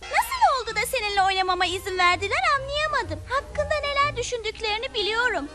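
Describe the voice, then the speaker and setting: Casting: good young witch girl, tiny high voice, animated melody of speech, cartoon dub. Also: fast talker. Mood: sweet, earnest. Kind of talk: dubbed cartoon acting. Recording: loud music under the voice.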